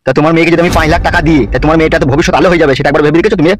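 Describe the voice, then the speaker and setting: A man's voice: a little high, steady tone, racing, close to an everyday voice. A man playing a coaxing, scheming village politician villain in a comedy cartoon. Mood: persuasive. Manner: coaxing, insistent.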